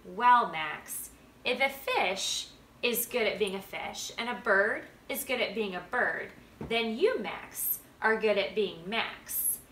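A woman's voice: mid-range voice, expressive, slow, fairly bright tone, recorded from a distance. A woman reading the part of a kindly, wise storybook grandfather, patient, encouraging and emphatic. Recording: roomy home recording with background noise.

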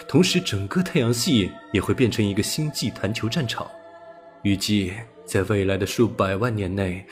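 Male audiobook narrator, lowish pitch, scripted storytelling read, light music underneath.

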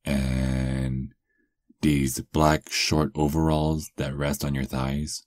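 A man playing a doting, possessive vampire lover in an intimate ASMR roleplay, murmuring softly and coaxingly. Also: rumbling low, little pitch movement, drawn-out words, no echo, studio quality, full character voice. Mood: playful, attentive.

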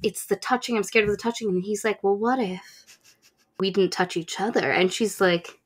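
A young woman podcast host casually retelling a book in a giggly, animated way, acting out bits of dialogue. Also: medium pitch, moderate pace, husky. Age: young adult. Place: indoors, room with bookshelves.

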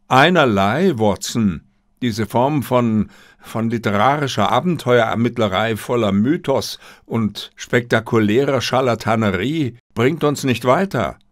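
A man's voice: mid-range voice, a little slow, no echo, clean studio sound, close to an everyday voice. A man voicing a pedantic, impatient detective in an audiobook, with a precise, dismissive manner. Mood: irritated, dismissive. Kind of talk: audiobook character reading.

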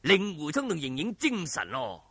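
激昂生動嘅香港粵語男講古佬. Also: male voice, a little high, wildly swooping pitch, normal speed, fairly bright tone, slightly rough, no room sound. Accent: Hong Kong Cantonese. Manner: dramatic, colloquial, with exclamations and vocal sound effects. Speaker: storyteller. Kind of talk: animated oral storytelling of a martial arts novel.

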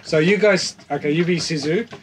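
A man in his thirties with a slightly high voice talks casually, walking listeners through a plan step by step. He is picked up by a camera outdoors on a boat, with clear background noise and clicks. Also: brisk. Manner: hands-on step-by-step explaining. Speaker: wakeboarder planning a stunt. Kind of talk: casual chat, explaining a plan. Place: open deck of an anchored catamaran, around a table. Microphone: camera a few feet away.

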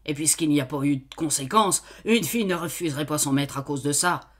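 A stubborn brute of a male farmer in a storybook, voiced by a woman, barking questions in a loud, insistent voice. The voice swings widely in pitch, yet keeps an unhurried pace. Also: big pitch swings, exaggerated voice. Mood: puzzled. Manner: loud, angry, interrogating. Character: brutish stubborn middle-aged male farmer, master.

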